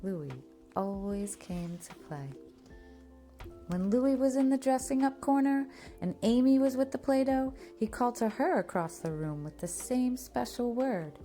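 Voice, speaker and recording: A woman's voice: fairly high pitch, measured pace, warm tone, soft background music. A woman narrating a children's picture book expressively, drawing out key words for emphasis. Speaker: storybook reader. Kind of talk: scripted children's story narration.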